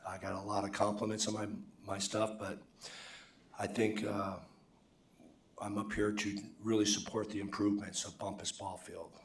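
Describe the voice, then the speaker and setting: Older man with a hoarse voice, low and flat, stop-start testimony, echoey room from far off. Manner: halting, many restarts. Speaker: softball umpire giving public testimony. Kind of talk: public comment at a council meeting. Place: council chamber. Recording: echoey council chamber, distant mic.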